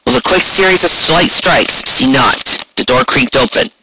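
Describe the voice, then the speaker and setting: A man's voice: fairly high pitch, moderate pace, creaky, background noise. A man reading a scripted fantasy story aloud as its narrator. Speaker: fan fiction narrator.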